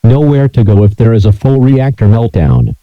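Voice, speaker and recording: A man's synthesized voice reading scripted emergency alert messages in a flat, measured, robotic delivery. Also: medium pitch, no room sound, phone-quality sound.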